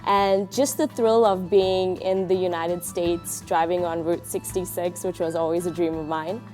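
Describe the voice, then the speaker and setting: South African woman, soft-spoken volunteer. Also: lowish voice, fairly even intonation, a little slow, soft background music. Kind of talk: testimonial interview.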